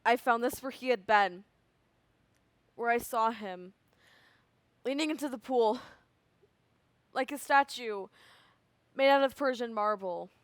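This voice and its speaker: A high-pitched, lovelorn young nymph mourns in a solemn stage monologue, acted by a young woman. She draws her phrases out with wistful, theatrical weight. The sound is close and dry. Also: dry recording, close to an everyday voice. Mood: hushed grief. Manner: dramatic, drawn-out, sighing delivery.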